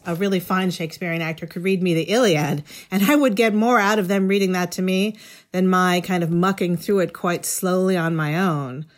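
A middle-aged woman speaking as an interview guest, explaining things in an articulate, thoughtful, conversational way. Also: mid-range voice. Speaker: interview guest, education writer.

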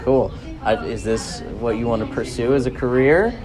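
A man's voice: mid-range voice, normal speed, a crowd in the background, poor audio. A man working as a reporter, explaining things in a thoughtful, conversational interview style. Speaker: education reporter.

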